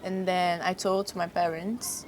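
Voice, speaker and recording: A young woman from Brazil with a Brazilian Portuguese accent, speaking English as a second language, chatting as a podcast guest with halting phrasing. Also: lowish voice, little pitch movement, slight background noise, low-quality recording. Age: young adult. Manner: halting non-native phrasing, frequent laughs. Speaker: guest model. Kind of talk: casual interview chat.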